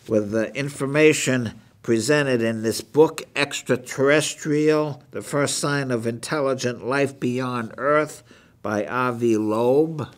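An older man with a New England accent hosts a rambling book review in a halting, folksy way, repeating himself as he goes. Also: mid-range voice. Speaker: show host. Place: small meeting room indoors.

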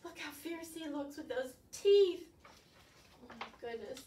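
A woman's voice: high-pitched, animated, measured pace, warm tone. Older lady in her 60s doing kids storytime, super singsong up and down, full of wonder, mic across the room. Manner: exaggerated wonder, coaxing questions. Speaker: children's librarian. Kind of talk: storytime read-aloud to young children. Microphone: distant camera microphone. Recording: roomy, distant camera mic, faint noise.

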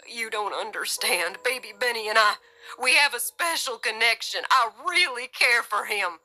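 A woman's voice: a little high, animated, moderate pace, sharp tone, pitched above the performer's own voice, poor audio. A woman voicing a desperate, pitiful male kidnapper with a breaking, stammering voice, in an audio story. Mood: tearful pleading.

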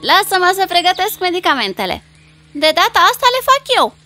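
A woman voicing a caring, expressive young village girl in a dubbed cartoon fairy tale. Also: very high-pitched, no echo, faint music behind, heavily acted. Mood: friendly.

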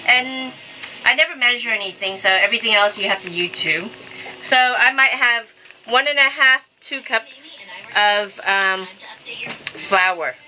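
A woman's voice: fairly high pitch, unhurried, bright, forceful tone. A woman chatting casually and cheerfully as she hosts a cooking vlog in a small boat galley. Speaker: vlog host. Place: small sailboat galley indoors. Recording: noisy on-camera boat galley audio.

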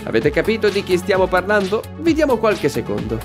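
A man's voice: high-pitched, wildly swooping pitch, a little fast. Casting: young male video host, very varied intonation, music underneath. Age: young adult. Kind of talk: lively scripted presenting. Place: small room with shelves.

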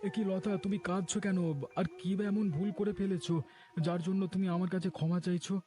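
A man's voice: high-pitched, level tone, fast, dark tone, soft background music, close to an everyday voice. A man voicing a poor, anxious village husband in a cartoon melodrama, earnest and pleading. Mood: concerned, sorrowful.

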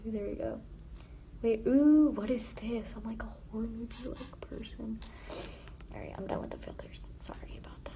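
A teenage girl chatting casually on a live stream from her phone, answering viewer comments with lots of "like" and trailing off into quiet asides. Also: fairly high pitch, moderate pace, vocal fry, whispered. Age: teens. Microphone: phone front camera held close.

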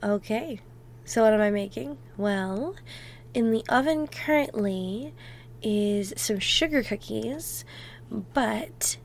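A woman playing an affectionate, playful girlfriend in an ASMR roleplay, talking softly and intimately, sometimes close to a whisper. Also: mid-range voice. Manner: soft, affectionate, unhurried, dropping into near-whispers.

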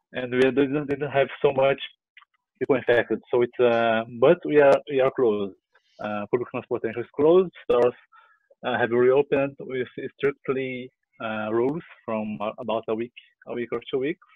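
A man's voice: medium pitch, dry recording. A man in his thirties with a Brazilian accent, giving an informal group report and often rephrasing himself. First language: Portuguese. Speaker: sketching group organiser. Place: room at home. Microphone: headset on a video call. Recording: dry, thin phone-like call audio.

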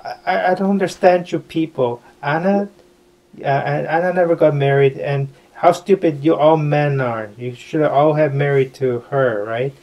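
Man playing a fussy, shrill little princess. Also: normal speed, a little mellow, highs cut off, lightly acted. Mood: scolding. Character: fussy young pregnant princess, chattering.

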